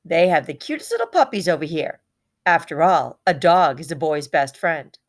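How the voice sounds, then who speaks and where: A woman's voice: deep voice, very animated, normal speed, heavily acted. Woman reader as a fussy, coaxing storybook mama. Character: fussy mother steering her child toward easier pets. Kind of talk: children's storybook read-aloud dialogue.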